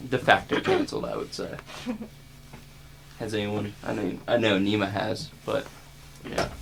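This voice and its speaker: A man's voice: fairly low pitch, steady tone, moderate pace, creaky, a little hiss or hum, poor audio. A young man from the United States chatting casually in a group. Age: young adult.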